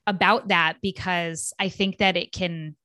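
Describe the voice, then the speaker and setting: Bright-voiced chatty woman host. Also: lowish voice, fairly even intonation, measured pace, no echo, clean studio sound. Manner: chatty, many fillers. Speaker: podcast host. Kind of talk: casual interview chat.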